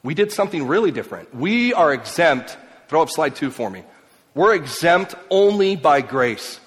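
A man raised in Mexico and Ecuador, giving an emphatic speech to an audience, swinging between loud and quiet. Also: on the high side, big pitch swings, moderate pace. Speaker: speaker. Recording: long hall reverb.

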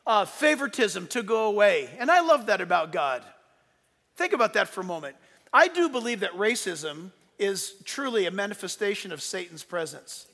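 A man preaching a sermon as pastor, emphatic, rising into loud bursts and dropping low for asides. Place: church sanctuary. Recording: long reverb of a hall.

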